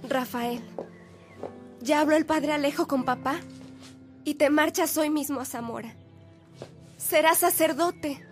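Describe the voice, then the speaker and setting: An affectionate sister in a radio drama. Her voice is high and slow, with a tender, solemn delivery over faint music. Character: devoted, affectionate sister of a young seminarian. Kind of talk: Spanish-language radio drama acting.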